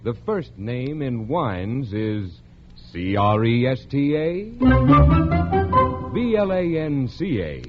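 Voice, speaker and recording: Expressive male 1940s radio ad announcer. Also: mid-range voice, takes it slowly, loud music behind the voice. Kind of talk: 1940s radio ad copy, scripted. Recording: muffled old radio broadcast.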